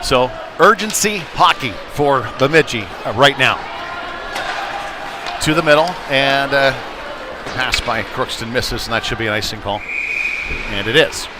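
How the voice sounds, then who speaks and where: A man's voice: medium pitch, unhurried, long pauses, noisy background. A man doing live play-by-play commentary of a hockey game, following the action in broken, trailing phrases. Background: arena music over public address.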